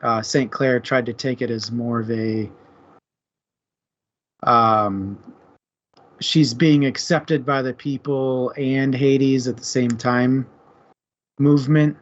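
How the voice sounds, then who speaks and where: A man with a medium-pitched voice co-hosts a casual book chat. He speaks slowly and informally, in loose everyday phrasing. The recording sounds a little dull, like lo-fi stream audio. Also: fairly even intonation. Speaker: book discussion co-host.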